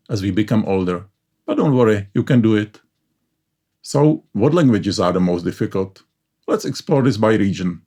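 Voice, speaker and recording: A man's voice: lowish voice, warm tone. Man narrating. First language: Czech. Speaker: educational channel host. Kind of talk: scripted explainer narration.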